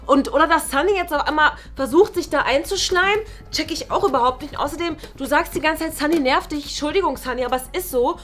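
Sulky, indignant young female roommate, played by an actress, high voice, colloquial halting delivery, web drama. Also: fairly bright tone. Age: young adult. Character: indignant, sulky young woman roommate Melody, feeling slighted. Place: living room indoors.

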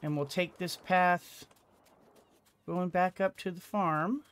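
A man's voice: high-pitched, fairly even intonation, takes it slowly, a bit bright, smooth. A middle-aged man giving casual gameplay commentary, thinking aloud as he goes. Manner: thinking aloud, muttering to himself. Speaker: let's play host. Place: small indoor home room. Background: game music.

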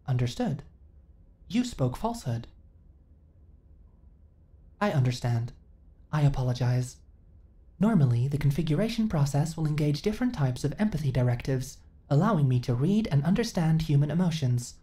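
A woman's voice: low-pitched, lively intonation, moderate pace, pauses often, warm tone. A woman playing an earnest, naive companion android in a sci-fi ASMR roleplay, speaking in stilted, formal, literal phrases. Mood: measured, detached.

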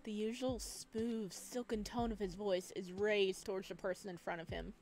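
A woman reading a visual novel aloud at home, with quick joking asides between lines of narration. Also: medium pitch, steady tone, a little mellow. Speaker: game streamer.

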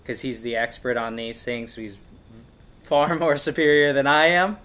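Man, American accent, thirties, medium pitch, casual host chat. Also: normal speed, fairly bright tone. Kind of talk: casual chat introducing a guest. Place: indoors on a couch in a workshop room.

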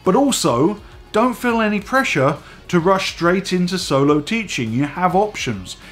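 Man in his forties, slightly higher voice, a little stuffy and hoarse from a cold, chatty to-camera host with faint music underneath. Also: measured pace. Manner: chatty and animated, talking with his hands. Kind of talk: casual direct-to-camera vlog. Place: home studio room with dive gear on shelves.

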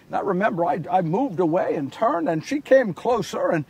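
A man's voice: high voice, very animated, brisk, slightly rough, heavily acted. A man playing Simon Peter as a big, remorseful fisherman disciple, telling his story in an earnest, confessional, theatrical dramatic monologue. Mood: earnest, reflective. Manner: earnest, confessional, theatrical, swinging from hushed to shouting. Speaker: solo storyteller actor. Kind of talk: first-person dramatic monologue of a Bible story. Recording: distant microphone.

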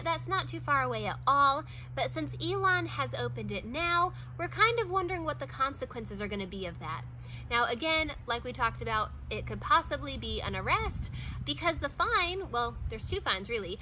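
A woman's voice: high voice, bright, forceful tone, slight background noise, low-quality recording. A woman in her thirties talking casually to camera outdoors, chatty and filling her talk with "like" and little laughs. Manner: chatty, many "like"s and small laughs. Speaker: vlogger. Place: outdoors in front of a wooden fence.

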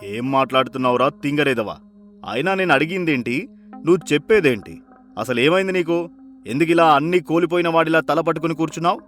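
A man's voice: a little high, a little fast, fairly bright tone, faint music behind, lightly acted. A man playing an authoritative, caring older village father who instructs and scolds in a cartoon moral story. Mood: angry scolding. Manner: firm, instructive. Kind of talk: animated moral-story voice acting.

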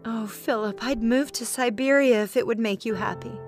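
Devoted wife and mom female actor, high-pitched, gentle and pleading, melodramatic comic dub. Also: normal speed, faint music behind, lightly acted. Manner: tender, pleading. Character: devoted, long-suffering gentle wife and mother.

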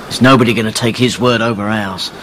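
A man's voice: lowish voice, steady tone, quick pace, noisy background, natural voice. A man playing a domineering, calculating schemer in a radio drama, with a controlling, impatient manner. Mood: commanding, impatient. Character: scheming, domineering male conspirator, coolly calculating.

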